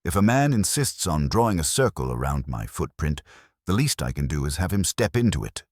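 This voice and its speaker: A man's voice: a bass voice, big pitch swings, no echo, studio quality, heavily acted. A man voicing an imperious, unruffled older master detective who speaks formally and with command in a detective story. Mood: calm, calculating. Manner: formal, commanding, deliberate wording.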